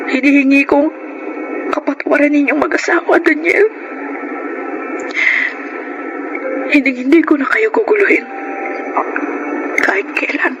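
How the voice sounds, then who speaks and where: A woman's voice: sits high, noisy background, lightly acted. An actress with a Filipino accent playing a needy, heartbroken young woman in a radio drama, tearful and pleading. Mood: remorseful. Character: anguished, needy, heartbroken young woman confessing her life. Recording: loud music bed behind the voice.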